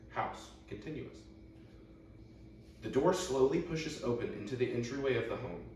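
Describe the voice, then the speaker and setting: Young man, very echoey, distant from the mic. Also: lowish voice. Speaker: table-read participant reading stage directions. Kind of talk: casual amateur script reading. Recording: echoey room, distant mic, faint noise.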